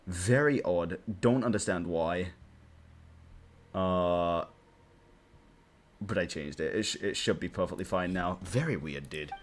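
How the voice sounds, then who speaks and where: A man's voice: deep voice, a little slow, pauses often, background noise. A man live streaming a game, giving casual, sweary, muttered reactions in a laid-back way. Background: faint game music.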